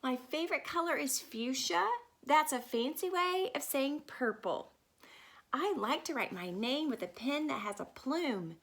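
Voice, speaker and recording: A woman plays an enthusiastic little girl telling her own storybook tale in a high voice. She is dramatic and in love with all things fancy, proudly explaining grand words. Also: animated, a little slow, fairly bright tone, exaggerated voice. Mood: eager, proud.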